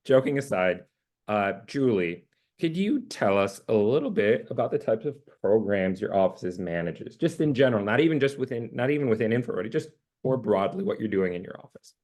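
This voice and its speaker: A man hosting a webinar interview with a husky voice today, joking loosely and filling pauses with "uh". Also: lowish voice, animated, a little mellow. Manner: jokey, loose, filler-heavy. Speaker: interview host.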